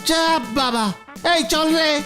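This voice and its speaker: A sensible young cartoon sidekick acted by a woman, pitched high, questioning and gently chiding. Music plays clearly beneath the voice. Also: slow pace.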